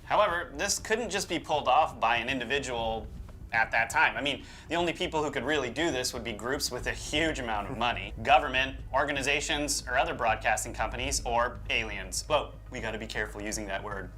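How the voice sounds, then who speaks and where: A man's voice: mid-range voice, fairly quick, bright, forceful tone. A man co-hosting with casual commentary and banter, informal and jokey in a slangy way. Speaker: co-host.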